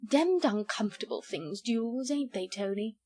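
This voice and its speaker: A woman's voice: fairly high pitch, animated, unhurried, dark tone, slightly rough. Foppish male English aristocrat, played by a woman reader: languid, inane, placidly good-humoured, storybook style. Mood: lazy, unbothered. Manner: drawling, inane, affectedly bland. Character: foppish, lazily good-humoured English baronet, a tall man. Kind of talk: storybook character voice.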